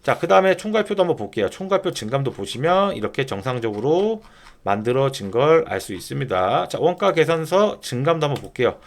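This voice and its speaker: A man narrating a software tutorial step by step in informal polite speech, often posing a question and then answering it himself. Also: medium pitch, very animated, fairly quick. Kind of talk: screen-recording tutorial narration, explaining step by step.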